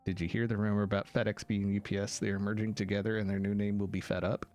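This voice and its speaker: A man hosting a game live stream, chatting casually and thanking viewers, with a gravelly morning voice. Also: on the low side, level tone, a little mellow, soft background music. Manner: playful, jokey, thanks donors rapidly. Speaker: livestream host.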